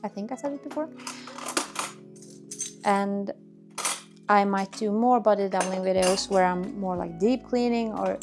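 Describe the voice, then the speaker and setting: A woman's voice: mid-range voice, gravelly. A woman with a Swedish accent, probably in her thirties, chatting casually to camera while cleaning her kitchen, often searching for words and restarting sentences. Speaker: cleaning vlogger. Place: home kitchen. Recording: music playing underneath. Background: dishes clinking, quiet music.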